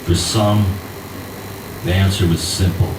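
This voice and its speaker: Slow, low-voiced man reading in a bar. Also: fairly even intonation, background noise. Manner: hesitant, self-deprecating chat before a measured, wry reading. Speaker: actor reading aloud. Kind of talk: live public reading of fiction. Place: cabaret bar. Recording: roomy, distant bar recording with loud ambience.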